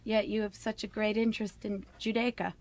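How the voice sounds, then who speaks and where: A woman's voice: mid-range voice, level tone, a little fast, darkish tone, close to an everyday voice. A woman in a radio drama playing a polite young wife new to the family, chatty and earnest. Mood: earnest, candid.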